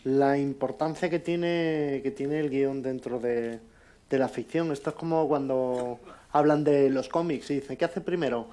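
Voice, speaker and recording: A man with an accent from Spain giving an informal conference talk in a halting, conversational way. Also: medium pitch, steady tone, mellow. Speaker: conference speaker, amateur audio drama producer.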